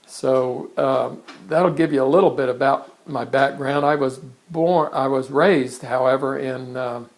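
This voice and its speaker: A man, introducing himself in a casual, halting monologue from his art studio. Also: medium pitch, steady tone, creaky, low-quality recording. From born in England, raised in Kansas. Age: 60s. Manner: conversational, halting with frequent restarts and fillers. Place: his art studio in front of a blank canvas.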